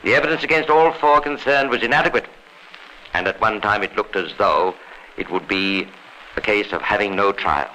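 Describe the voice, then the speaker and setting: A grave, authoritative narrator tells a radio crime story with formal drama. The voice is sharp and forceful, on an old recording with slight background noise. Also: male voice, on the low side, normal speed, poor audio. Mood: grave, dramatic. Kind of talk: 1950s radio scripted narration.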